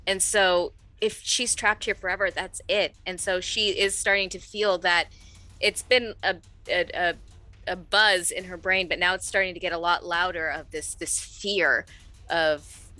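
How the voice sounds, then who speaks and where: A woman playing a tabletop roleplaying game, chatting casually and describing her character in an expressive way. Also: on the low side.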